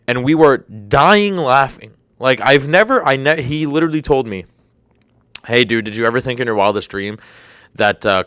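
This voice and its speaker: A man's voice: lowish voice, fairly bright tone, clear, resonant tone, dry recording, clean studio sound. A young man in his twenties hosting a solo podcast, rambling and incredulous, with a slightly congested throat. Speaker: podcast host. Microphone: desk microphone.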